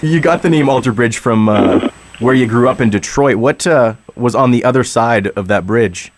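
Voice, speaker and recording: A man's voice: medium pitch, normal speed, slight background noise. A man hosting a radio interview, asking questions in a conversational way. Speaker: radio host.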